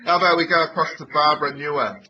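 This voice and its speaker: Bright-voiced New man hosting a webinar. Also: on the high side. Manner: encouraging, informal, with fillers. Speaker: webinar host. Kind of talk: online webinar facilitation. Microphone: computer mic on a video call. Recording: muffled lo-fi web call audio with faint noise.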